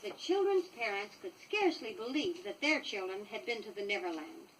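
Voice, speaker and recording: An American woman reading a children's story from a script, expressive and clearly paced for young listeners, on a 1960s vinyl record. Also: medium pitch, normal speed, distant mic, noisy background. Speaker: storybook reader.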